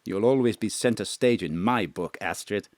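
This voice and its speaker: An actor playing a posh, suave, devoted gentleman spy chief with an eager, flirtatious manner in a comic audio drama. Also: lowish voice, normal speed, no echo, clean studio sound, lightly acted. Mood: devoted, wistful.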